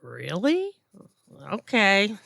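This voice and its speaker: A woman's voice: medium pitch, huge pitch swings, extremely slow, creaky, a bit bright, no echo, clean studio sound, exaggerated voice. A woman playing a glib afterlife clerk. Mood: businesslike. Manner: chipper and glib, teasing, laughs at grim news. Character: breezy, flippant female afterlife clerk. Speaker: afterlife clerk processing a newly dead woman. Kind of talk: community audio theatre.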